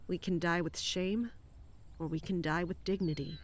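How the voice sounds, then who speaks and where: An actress playing a stoic, fatalistic military captain in an audio drama. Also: female voice, fairly low pitch, steady tone, moderate pace, a little hiss or hum, lightly acted. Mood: grim, resigned.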